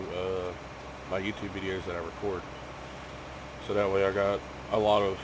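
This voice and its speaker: A man's voice: on the low side, background noise. A man who is sick with a cough, chatting casually to his viewers at home in a rambling way, full of ums and restarts. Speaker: gaming YouTuber.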